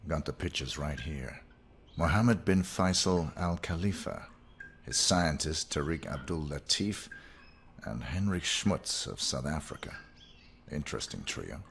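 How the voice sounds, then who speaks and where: An actor playing a cold, laconic hitman in a video game, with a gravelly voice and a clipped, deadpan, formal delivery. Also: unhurried, a little hiss or hum, full character voice. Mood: cold, unmoved. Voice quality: very deep, gravelly. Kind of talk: video game cutscene acting.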